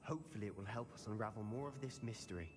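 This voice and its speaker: A man's voice: lowish voice, fast, background noise, close to an everyday voice. An actor playing a curious young male Slytherin student wizard.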